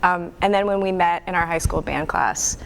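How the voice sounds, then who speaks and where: A woman's voice: lowish voice, normal speed, creaky, slight background noise, low-quality recording. A woman in her forties from the Toronto area, a folk singer answering interview questions with eager agreement. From Toronto area, Canada. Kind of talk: casual interview chat.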